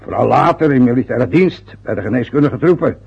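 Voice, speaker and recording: Radio drama: a pompous old dad, raspy and grumbling, full of his own importance, on a muffled old recording. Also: medium pitch, expressive, a little fast, a little mellow. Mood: boastful, wistful. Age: older. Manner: boastful, grumbling, self-important. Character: aggrieved, self-important, boastful older father, former ambulance driver. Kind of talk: radio drama acting, long rambling monologues.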